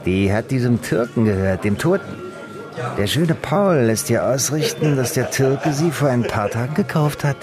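A sly, streetwise underworld informant in a radio drama, played by a male actor. His medium-pitched voice is teasing and full of slang, cagey throughout, with music playing loudly behind. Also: animated, moderate pace, lightly acted. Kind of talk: naturalistic German radio drama dialogue. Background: loud music.

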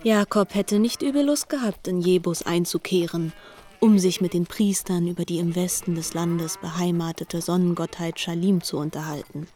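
A woman reading scripted literary narration for radio in a measured, formal way. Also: lowish voice, steady tone, mellow.

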